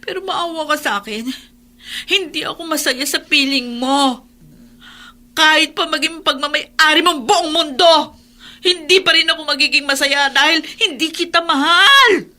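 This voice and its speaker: Distressed mother, high pitch, tense bright voice, radio drama. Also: moderate pace. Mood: pleading. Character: distressed, desperate mother trapped with a cruel man.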